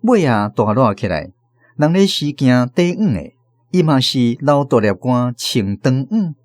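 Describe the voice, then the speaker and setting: Lively Taiwanese male storyteller. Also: mid-range voice, unhurried, no echo, studio quality. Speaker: narrator. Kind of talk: scripted storytelling narration.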